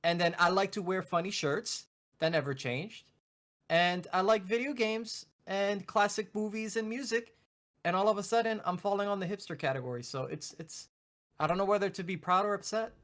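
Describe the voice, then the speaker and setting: A man's voice: high-pitched, normal speed, fairly bright tone. A man in his thirties chatting casually as a co-host, playfully exasperated and acting out imagined quotes. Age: 30s. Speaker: video game show co-host. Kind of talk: casual chat.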